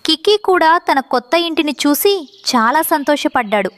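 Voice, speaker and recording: High-pitched woman narrating a children's story. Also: animated, normal speed.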